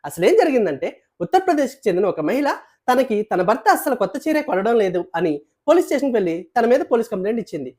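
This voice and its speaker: A woman presenter narrates scripted news in a high voice. Her pitch moves up and down a lot as she reads. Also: big pitch swings, racing, darkish tone, slightly rough.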